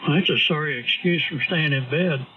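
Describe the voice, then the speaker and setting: Male ham, higher pitch, dry quips, distant tinny signal with static. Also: a little fast. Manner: dry humor, short replies. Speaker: ham radio operator.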